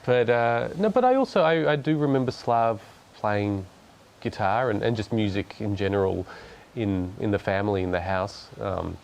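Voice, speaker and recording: A man's voice: lowish voice, normal speed, vocal fry, few pauses, darkish tone. A man, answering interview questions hesitantly and chuckling easily. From Australia. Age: 30s. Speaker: guest musician. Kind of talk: casual interview chat.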